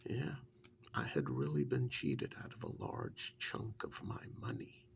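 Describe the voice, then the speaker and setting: A man playing a witch's world-weary assistant in an ASMR role-play, speaking softly and haltingly in a gravelly, half-whispered voice. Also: rumbling low, wildly swooping pitch, full character voice. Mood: reflective, resigned. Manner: slow, halting, reflective, with murmured acknowledgements. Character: witch's male assistant, world-weary human forest dweller being interviewed. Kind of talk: soft-spoken ASMR role-play, improvised monologue.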